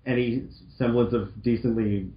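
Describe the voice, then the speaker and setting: A man's voice: medium pitch, fairly even intonation, moderate pace. A man giving casual, exasperated, sweary commentary as co-reader of a fanfiction. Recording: dull lo-fi mic in echoey room.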